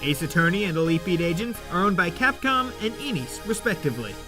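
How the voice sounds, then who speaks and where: Casting: credits announcer, medium pitch, scripted read-out over guitar music. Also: sing-song, quick pace.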